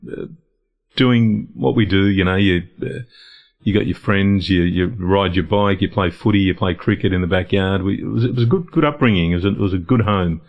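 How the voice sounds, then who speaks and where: A man's voice: sits low, fairly quick, gravelly, highs cut off. A middle-aged man, a guest in a casual interview, speaking reflectively and often restarting or repeating words as he gathers his thoughts. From Lebanon, raised in Australia. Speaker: guest, founder of a men's health organisation.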